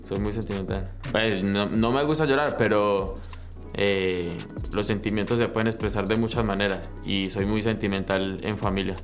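A man's voice: lowish voice, fairly even intonation, fairly quick. A man speaking casually, as if answering an interview question. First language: Spanish. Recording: music over the voice. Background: music.

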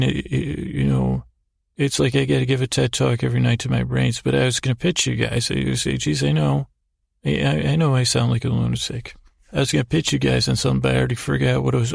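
A man hosting a podcast as a meandering, halting bedtime monologue, often trailing off and doubling back with self-deprecating, absurd humour. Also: medium pitch, a little mellow, no room sound, studio quality. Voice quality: creaky, gravelly. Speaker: podcast host.

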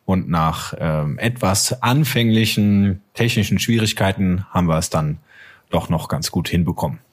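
Male podcast co-host, lowish voice, relaxed chat style, halting as he finds his words. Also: breathy edge. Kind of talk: casual fan podcast chat.

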